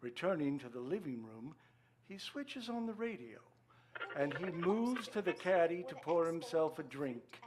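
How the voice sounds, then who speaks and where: A man's voice: a little high, big pitch swings, measured pace, noisy background. A man narrating scripted stage directions for a radio drama.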